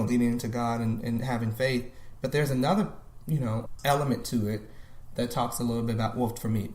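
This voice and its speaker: Brief: thirty-something man, guest, thoughtful and halting, mid-pitched voice, echoey room. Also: a little mellow. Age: 30s. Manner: thoughtful, restarts often. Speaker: podcast guest. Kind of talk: reflective casual conversation. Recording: roomy echo.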